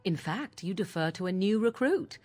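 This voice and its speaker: Actress as haughty, swooping sorceress. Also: female voice, mid-range voice, lively intonation, normal speed, darkish tone, faint music behind, natural voice. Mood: mocking, needling. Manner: archaic, sardonic, needling. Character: haughty, mocking wild sorceress with a sly tongue. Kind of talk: video game voice acting.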